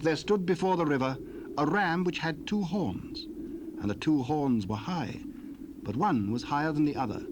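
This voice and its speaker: A man narrating scripture in a steady, solemn manner on a 1970s dramatized recording. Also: medium pitch. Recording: hissy cassette tape.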